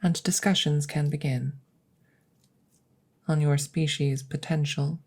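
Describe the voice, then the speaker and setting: A low female alien scientist, acted by a woman, detached and precise. The voice is husky and breathy with a crackle of fry, sometimes sinking into whispers. Each phrase is formal and deliberate, in the intimate manner of ASMR roleplay. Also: steady tone, darkish tone, exaggerated voice. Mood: clinical, matter-of-fact.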